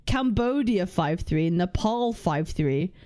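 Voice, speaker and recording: A young woman hosting a casual livestream, reading chat and lists aloud, blunt and swearing freely as she thinks out loud. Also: lowish voice, animated, takes it slowly. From United Kingdom. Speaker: livestream host.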